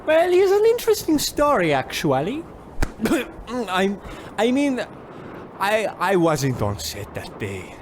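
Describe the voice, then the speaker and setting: A man voicing a bluffing, flustered celebrity showman impostor in a radio comedy, halting and exaggerated. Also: sits high, wildly swooping pitch, background noise, full character voice. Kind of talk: comic impersonation in radio play.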